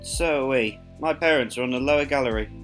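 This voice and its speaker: A woman playing a shy, polite, apologetic young man in an audio drama, quiet and hesitant. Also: a bass voice, fast talker, soft background music.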